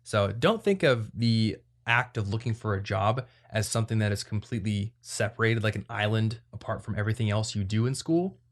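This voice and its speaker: A man's voice: fairly low pitch, a little fast, hardly any pauses. A man hosting an advice podcast, talking in a casual, conversational way as he explains things. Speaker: podcast host.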